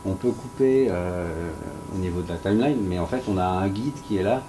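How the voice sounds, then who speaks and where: Deep-ish guy on a panel, kinda hesitant, noisy room sound. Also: moderate pace. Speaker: sound professional on an expert panel. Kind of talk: spontaneous panel discussion. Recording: clear ambient room noise.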